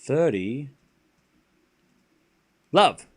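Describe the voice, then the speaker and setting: A man with a light Australian accent calling tennis on a live stream, getting excited on rallies and muttering between points while answering chat. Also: mid-range voice, extremely slow, breathy edge. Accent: Australian, softened. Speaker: streamer commentator. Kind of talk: live play-by-play commentary with chat.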